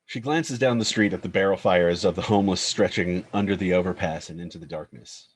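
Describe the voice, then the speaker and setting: A man's voice: low voice, fairly quick, husky. A man reading screenplay stage directions as a scripted narrator.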